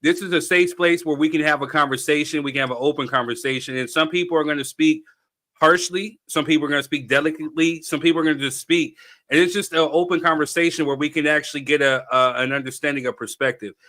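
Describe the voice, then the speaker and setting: A man's voice: on the high side, fairly even intonation, fast, pushed and bright. An American man hosting a casual open discussion, conciliatory and often repeating himself. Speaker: host.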